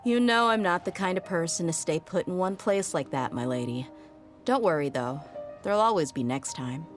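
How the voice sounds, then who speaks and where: Woman playing tough female desert mercenary, low voice, casual, frank, reassuring, anime game dialogue. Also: expressive, normal speed, soft background music, close to an everyday voice. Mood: apologetic.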